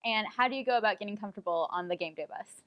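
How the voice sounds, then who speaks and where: A woman's voice: mid-range voice, racing, no room sound, muffled recording. A woman interviewing people and narrating as a reporter in a mock investigative report.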